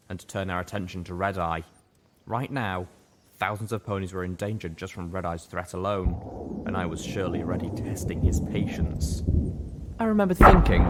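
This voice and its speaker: A man reading a dramatic piece of fiction as an audiobook narrator, with noise behind his voice. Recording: noticeable background noise with low rumble.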